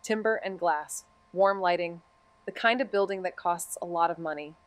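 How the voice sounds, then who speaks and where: A woman's voice: fairly low pitch, normal speed, clear, resonant tone, natural voice. A woman acting a wry, profane young amateur detective in a noir audio drama, moving between casual banter and narration. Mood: wry, hushed narration.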